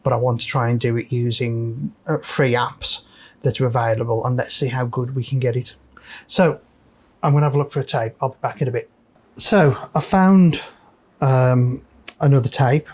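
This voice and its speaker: A man's voice: medium pitch, steady tone, moderate pace, darkish tone. A man hosting a casual, unscripted repair walkthrough, thinking aloud hesitantly as he works. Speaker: host of a repair video.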